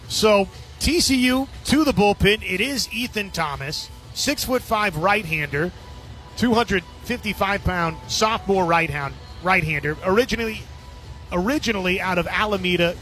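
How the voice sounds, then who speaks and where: High-pitched, bright male baseball announcer. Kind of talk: live radio sports commentary.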